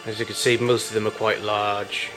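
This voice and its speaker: A man giving an informal, unscripted show-and-tell as a collector of old machines, in a halting, rambling way with frequent self-corrections. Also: lowish voice, little pitch movement, music in the background, poor audio. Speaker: collector showing vintage tape recorders.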